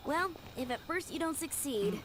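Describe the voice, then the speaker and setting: Eager, high-voiced young knight trainee. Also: female voice, expressive, normal speed, very noisy. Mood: frustrated, determined. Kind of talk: video game voice acting. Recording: loud game music behind.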